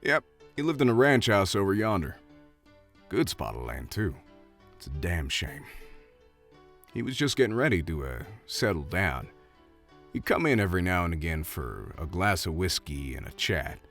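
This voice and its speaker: A chatty saloon bartender of the Old West, speaks. His pitch moves around a lot as he talks in a folksy, soft-hearted, slightly halting way, as in an audio drama with faint music underneath. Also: big pitch swings, full character voice. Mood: gossipy, regretful. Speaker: voice actor. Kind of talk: audio roleplay acting addressed to the listener.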